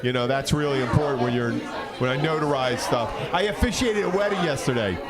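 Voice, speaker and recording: A man chatting casually as a podcast host, telling stories in an animated, rambling way. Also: medium pitch, fairly quick. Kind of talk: casual bar-side chat. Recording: loud crowd noise, outdoor urban setting. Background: loud crowd and outdoor bustle.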